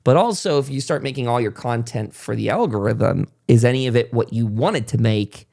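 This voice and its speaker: A man's voice: mid-range voice, expressive, normal speed, gravelly, a little mellow. A man hosting a casual interview podcast, talking in a rambling, reflective way as he thinks aloud. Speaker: podcast host and content creator.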